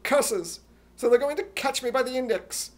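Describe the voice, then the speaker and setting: A man voicing an excitable, gullible, indignant comic hero in a loud, exclamatory, put-on British accent, in 1950s radio comedy style. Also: very high-pitched, normal speed. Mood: indignant, panicked. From United States.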